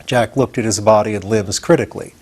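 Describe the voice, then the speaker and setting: A man's voice: fairly low pitch, brisk, darkish tone, slightly rough. An older man narrating a children's storybook as an audiobook reader.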